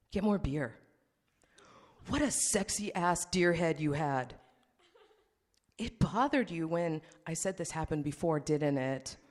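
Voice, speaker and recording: A woman voicing a crude, needy man who swings between whining pleas and snarling insults. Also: lowish voice. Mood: taunting. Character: crude, needy, abusive man texting his date. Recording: long hall reverb.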